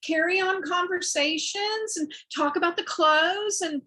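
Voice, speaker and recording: A woman in a club video chat, telling stories in an animated, excited way. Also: very high voice, measured pace. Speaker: club member. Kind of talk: casual group chat.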